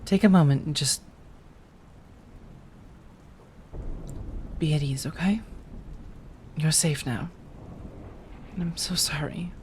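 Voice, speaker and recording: A woman playing a remorseful, tender vampire in an ASMR roleplay, speaking softly and haltingly, often slipping into a whisper. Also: sits low, a little hiss or hum. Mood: tender, reassuring. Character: remorseful, tender female vampire rescuing her beloved.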